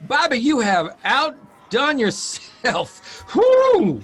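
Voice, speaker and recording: A man's voice: extremely high pitch, wildly swooping pitch, extremely slow, a bit bright, exaggerated voice. An actor playing a folksy Midwestern traveling newspaper columnist, a man in a period radio drama. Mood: merry, folksy.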